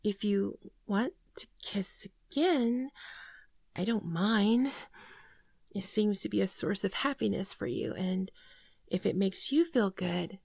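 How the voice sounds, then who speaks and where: A woman acts out a shy female alien in an ASMR roleplay, earnest and naive about humans. The voice is soft and often whispery, with a creaky edge. She speaks very slowly and tenderly, close to the mic in a very dry space. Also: medium pitch, no room sound. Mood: tender, playful. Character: gentle shapeshifting female alien, naive, earnest, shyly affectionate. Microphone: close microphone, dry sound.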